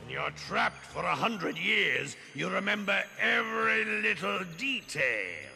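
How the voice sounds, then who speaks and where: An actor playing a menacing skeletal robot ghost overlord in a cartoon, snarling and taunting in a grandiose, theatrical way. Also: male voice, high voice, big pitch swings, takes it slowly, sharp tone, exaggerated voice. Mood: gloating menace. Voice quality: gravelly, snarling. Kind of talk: 1980s cartoon villain acting. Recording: long reverb, faint music behind.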